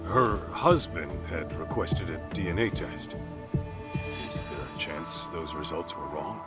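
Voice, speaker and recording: Tough, low, expressive investigator. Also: male voice, a little slow, over loud music. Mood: probing, serious. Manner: blunt, confrontational. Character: tough, blunt middle-aged male investigator, hot-tempered. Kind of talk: dramatic video game cutscene acting.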